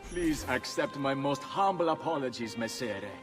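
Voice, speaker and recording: Actor as grovelling underling, music behind. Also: male voice, mid-range voice, expressive, normal speed, close to an everyday voice. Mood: grovelling, apologetic. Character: cowed, obsequious Renaissance Italian underling. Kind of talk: video game cutscene acting.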